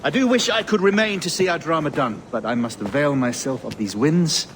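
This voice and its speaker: An actor playing a swaggering, irreverent sea captain with a British accent in a video game, jaunty and theatrical. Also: male voice, a little high, animated, a little fast, slight background noise, close to an everyday voice. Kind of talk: video game cutscene acting.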